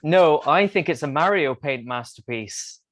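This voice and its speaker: Slow, halting man on a podcast panel. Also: fairly high pitch, animated, fairly bright tone. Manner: hesitant, halting, measured. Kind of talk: casual film discussion.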